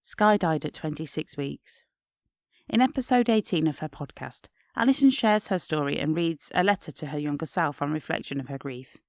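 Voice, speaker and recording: A woman's voice: low-pitched, normal speed, darkish tone, no room sound, studio quality. A woman hosting a podcast, narrating in a reflective, scripted style. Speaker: podcast host.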